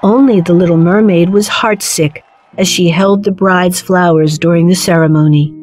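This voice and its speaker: A woman narrating a children's fairy tale in a measured, clearly phrased storytelling manner. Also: lowish voice, steady tone, darkish tone, rough recording. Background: background music.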